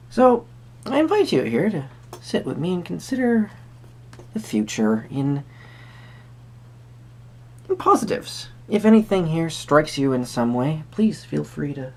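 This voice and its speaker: A performer playing a quirky, friendly tarot reader at a Renaissance fair, speaking to the viewer in halting, theatrical phrases. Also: mid-range voice, gravelly, slight background noise. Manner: hesitant, theatrical, halting phrasing with sudden emphasis. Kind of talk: improvised first-person roleplay addressed to the viewer.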